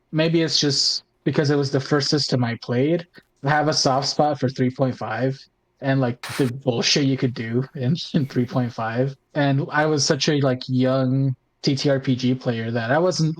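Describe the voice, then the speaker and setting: A man co-hosting a podcast in casual roundtable chat, speaking informally and haltingly with plenty of "like" and some mild swearing. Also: medium pitch, rough recording. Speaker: podcast co-host and tabletop player.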